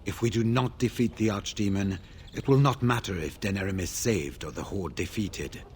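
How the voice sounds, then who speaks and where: An actor playing a grave veteran warden scout in a fantasy video game, speaking in a formal, measured way. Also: male voice, fairly low pitch, slight background noise, lightly acted.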